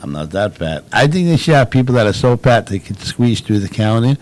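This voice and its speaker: Loud, mocking man on a live show. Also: lowish voice, big pitch swings, a little fast. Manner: loud, mocking, crude joking. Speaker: co-host of a live web show. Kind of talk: casual crude banter on a live stream.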